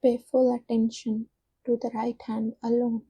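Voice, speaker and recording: A woman guides a meditation in a soft, fairly high voice. Her delivery is slow and evenly pitched, with little rise or fall. She gives gentle, soothing instructions and leaves long pauses between them. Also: little pitch movement, slightly rough, no room sound.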